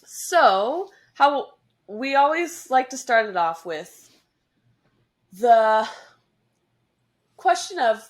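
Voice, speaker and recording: A woman hosts a podcast chat with a fairly high, very lively voice that rises and falls a lot. She talks in a bubbly, rambling way, losing her thread and picking it up again. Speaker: podcast host. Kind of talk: casual interview chat.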